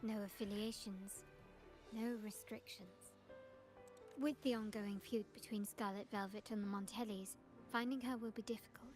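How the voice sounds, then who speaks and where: An actress voicing a composed, purposeful young woman guide in a video game, explaining things in a measured, knowing manner. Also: medium pitch, steady tone, close to an everyday voice. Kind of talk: scripted video game voice acting. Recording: game audio with background music.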